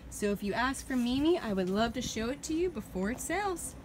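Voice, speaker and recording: A woman's voice: very animated, brisk, slight background noise. Upbeat woman giving a boat tour. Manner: upbeat, chatty sales pitch. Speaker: boat salesperson. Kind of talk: walkaround product tour. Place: outdoors on a boat in a dealer lot. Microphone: phone camera held while walking.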